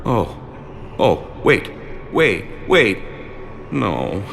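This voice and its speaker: Excitable, bright-voiced bumbling fanboy. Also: male voice, lowish voice, very slow, vocal fry, faint music behind, full character voice. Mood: sheepish. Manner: excitable, flustered, hesitant. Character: eager, bumbling, apologetic male fan of a space commander. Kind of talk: video game voice acting.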